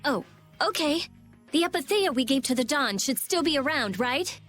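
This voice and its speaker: High lively teen genius girl, blunt n excitable, video game style. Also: moderate pace, soft background music. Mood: eager, blunt. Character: brainy, blunt, excitable teenage girl inventor.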